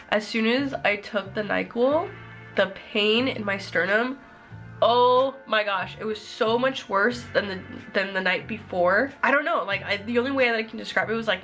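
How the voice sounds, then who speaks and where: A woman's voice: mid-range voice, fairly bright tone. Congested woman vlogging. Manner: chatty, rambling with frequent "like". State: congested, sick voice. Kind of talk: casual vlog chat.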